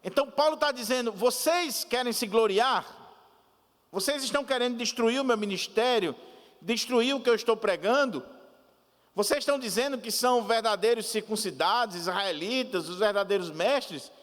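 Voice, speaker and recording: A man's voice: very high voice, normal speed, fairly bright tone, big-room sound, pitched above the performer's own voice. A man preaching as he voices a proud, zealous ancient apostle, emphatic and asking indignant questions.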